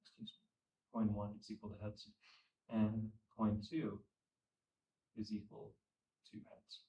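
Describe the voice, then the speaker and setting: A young man teaching statistics at a classroom whiteboard, losing his voice and clearing his throat, repeating phrases and checking understanding. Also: lowish voice, little pitch movement, slow, dark tone, muffled recording. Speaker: statistics instructor. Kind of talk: classroom lecture. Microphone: far camera. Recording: echoey room, from far off.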